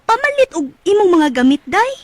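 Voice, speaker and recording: A caring, nosy female confidante from a Cebuano radio drama. She has a high voice and a Cebuano accent, and talks in a chiding, motherly way. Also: sing-song, a little fast, dry recording. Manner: chiding, motherly advice-giving. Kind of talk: Bisaya radio drama acting.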